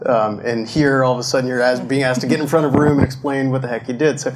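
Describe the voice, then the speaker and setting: A man's voice: mid-range voice, steady tone, fast, heavy background noise. A man moderating an onstage fireside chat, upbeat and chatty, with a lot of "um" and "uh". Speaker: interviewer, moderator. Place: event stage in front of an audience.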